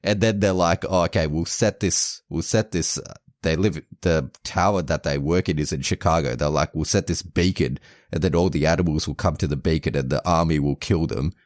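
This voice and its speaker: A man's voice: rumbling low, brisk, no room sound, clean studio sound. A man co-hosting a podcast, casually retelling a movie plot with lots of restarts, self-corrections and amused laughs. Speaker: podcast co-host.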